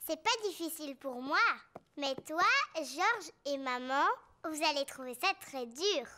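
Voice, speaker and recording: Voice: sits high, a little slow, pushed and bright. A young performer voicing a bossy, cheerful little girl pig in a children's cartoon, giggly and boastful. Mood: proud, bossy. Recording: music behind the voice.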